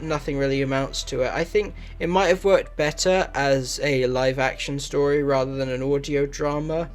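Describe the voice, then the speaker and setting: Man, video reviewer, scripted narration, slightly high voice, matter-of-fact, measured, faint music behind.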